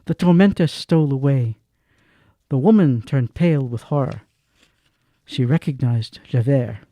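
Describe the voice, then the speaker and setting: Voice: mid-range voice, a little slow, dark tone, dry recording. A narrator telling a dramatic story in an audio drama. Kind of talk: scripted narration.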